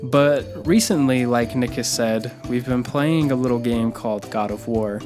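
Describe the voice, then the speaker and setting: A young man with a mid-range voice rambles happily about games, joking and excitable. Music is clearly heard behind him. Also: medium pitch, a little slow. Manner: goofy, excitable, jokey. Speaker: co-host of a gaming video channel. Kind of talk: casual gaming commentary.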